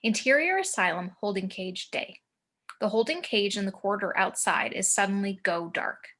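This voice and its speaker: A woman's voice: medium pitch, expressive, moderate pace, a bit bright. A woman reading out scene headings and action description as the narrator of a screenplay table read. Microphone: home video call.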